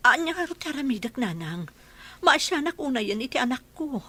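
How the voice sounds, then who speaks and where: A woman voicing a worried mother raising her daughter on her own, with a husky voice. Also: sits high, big pitch swings, fairly quick, a bit bright, heavily acted. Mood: troubled.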